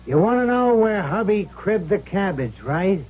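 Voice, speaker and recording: An actor playing the ghost of a brash, wisecracking gangster in a 1970s radio drama, talking in tough, curt, bossy slang. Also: male voice, high-pitched, sing-song, moderate pace. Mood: brash, impatient. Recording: muffled old radio broadcast.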